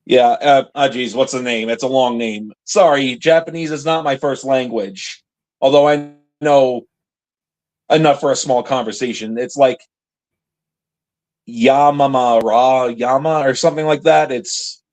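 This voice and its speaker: A man's voice: mid-range voice, steady tone, unhurried, darkish tone, rough recording. A man co-hosting a podcast, chatting casually in an easygoing way and adding bits of trivia. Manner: easygoing, laughs along, adds trivia. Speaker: podcast co-host. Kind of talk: casual chat about a TV series.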